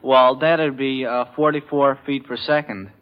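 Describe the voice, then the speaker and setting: Halting male quiz contestant, dull old recording. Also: mid-range voice, steady tone. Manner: hesitant, halting, methodical. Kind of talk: thinking aloud through arithmetic. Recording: dull vintage radio recording.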